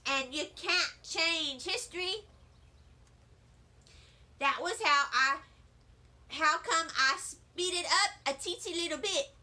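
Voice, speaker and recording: A woman reading a children's chapter book aloud in the chatty, wide-eyed voice of a first grader. Her tone is high and bright, and she takes her time with every line. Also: takes it slowly, pauses often. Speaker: storybook reader.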